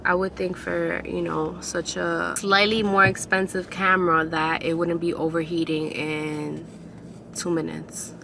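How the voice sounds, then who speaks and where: A woman's voice: on the low side, level tone, unhurried, gravelly. A woman in her twenties, chatting casually to camera while driving, rambling and questioning herself. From New York City. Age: 20s. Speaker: vlogger. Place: inside a car in city traffic. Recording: loud road noise behind.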